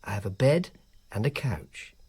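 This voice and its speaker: An actor playing a dry, self-mocking male informer in ancient Rome, who narrates and speaks the lead role in a radio drama, with a husky voice. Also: on the low side, expressive, moderate pace, darkish tone. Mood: wry.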